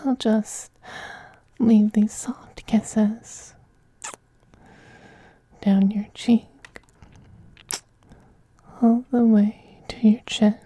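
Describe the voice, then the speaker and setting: A performer voicing a seductive, possessive male femboy vampire in an intimate ASMR roleplay, whispering softly and coaxing in a teasing, gently domineering way. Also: mid-range voice, flat delivery, drawn-out words, pauses often, a little hiss or hum. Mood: hypnotic, soothing. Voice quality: breathy, soft whispering.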